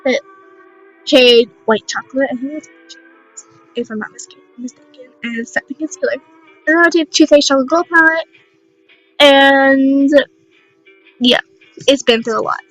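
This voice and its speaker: A young woman with a raspy voice, casually narrating a makeup tutorial in a rambling, unsure, self-deprecating way. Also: sits high, normal speed, long pauses, a bit bright, soft background music, rough recording.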